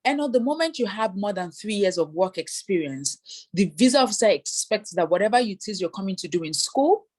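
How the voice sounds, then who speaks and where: A woman's voice: mid-range voice, expressive, a little fast, darkish tone, husky. Woman immigration consultant explaining advice. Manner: explanatory, voices hypothetical applicants.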